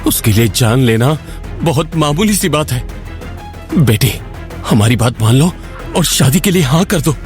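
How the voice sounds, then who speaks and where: Actor as scared middle-aged uncle begging through tears, audio drama, normal pitch, music behind. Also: male voice, expressive, unhurried, long pauses, a little mellow, close to an everyday voice. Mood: tearful, desperate. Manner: pleading. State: tearful. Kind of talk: Hindi audio drama acting. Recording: music bed under the voice.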